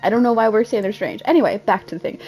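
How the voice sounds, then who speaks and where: Woman, medium pitch, dramatic game-story reading, casual self-deprecating asides, music underneath. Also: rapid-fire, a little mellow. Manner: self-deprecating, often breaking off to comment on her reading. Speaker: gamer reading a video game's story aloud.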